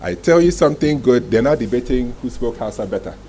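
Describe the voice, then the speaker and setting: A man's voice: mid-range voice, expressive, a little fast, dark tone. Man giving an emphatic talk, noisy recording. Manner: emphatic storytelling, rhetorical questions, short dramatic phrases. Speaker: masterclass speaker, communications executive. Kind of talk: motivational presentation to a live audience. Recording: clearly noisy live room recording.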